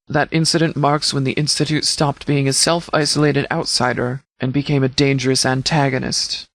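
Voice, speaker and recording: A man playing a stern, protective post-apocalyptic sheriff in a video game, speaking in a formal, deliberate, fatherly way. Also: a little high, a bit bright, no room sound. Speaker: video game mod character actor. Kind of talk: scripted game dialogue.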